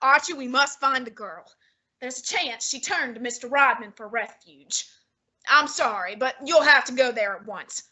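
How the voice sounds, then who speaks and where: Young woman playing a fussy genius detective guy, radio play style, high bright voice, very precise. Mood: reasoning. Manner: deliberate, formal and exacting. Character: formal, fussy genius male detective, middle-aged. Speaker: student actor. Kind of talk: old-time radio drama reading.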